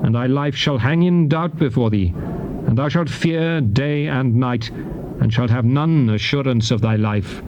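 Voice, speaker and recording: Guy playing a grave prophet warning his people, formal bible drama, measured delivery, noisy old cassette. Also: mid-range voice, highs cut off, natural voice. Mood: ominous. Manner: grave, declamatory, measured oratory. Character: Moses, solemn male prophet warning his people of curses. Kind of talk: dramatized scripture reading, formal archaic English.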